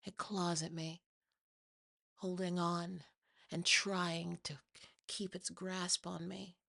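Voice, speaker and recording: Woman doing scripted horror asmr narration, breathy and slow, super close dry mic. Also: on the low side, flat delivery, sharp tone, dry recording.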